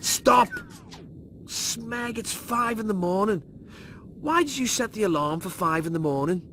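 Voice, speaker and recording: A man's voice: sits high, expressive, measured pace, music in the background. A man voicing an exasperated, sarcastic young slob in a sci-fi comedy audiobook. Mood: irritated. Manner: griping, slangy, combative. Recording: noisy background.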